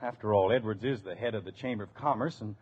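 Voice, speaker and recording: A man's voice: lowish voice, quick pace, darkish tone, slight background noise, natural voice. An actor playing a flustered, well-meaning but stubborn husband and father in an old radio sitcom. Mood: defensive, coaxing. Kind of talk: 1950s radio sitcom acting. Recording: dull old radio recording.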